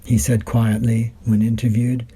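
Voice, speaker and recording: Husky, mellow man reading a script. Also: mid-range voice, level tone, unhurried. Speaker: wine and travel writer reading his reports. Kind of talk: scripted narration.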